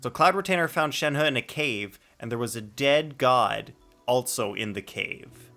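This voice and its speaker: Guy streaming a game, medium pitch, casual and playful, thinks out loud. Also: a little slow, faint music behind. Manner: playful, rambling, thinks aloud. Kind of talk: casual live playthrough commentary.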